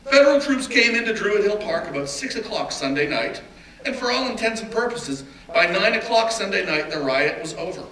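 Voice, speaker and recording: A man performing a dramatic reading as a reflective big-city mayor, telling his memories in a deliberate, emphatic, earnest way. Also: high voice, lively intonation, fairly bright tone. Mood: grave, recounting. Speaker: stage reader in a dramatic reading. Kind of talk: dramatic reading of oral history monologue. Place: live reading in a roomy indoor venue. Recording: echoey room, distant mic, background noise.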